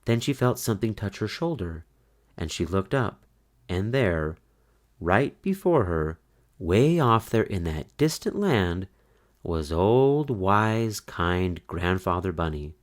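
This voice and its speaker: Expressive low-voiced man reading a storybook. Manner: deliberate phrasing with dramatic pauses. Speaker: storybook narrator. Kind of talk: dramatic children's read-aloud.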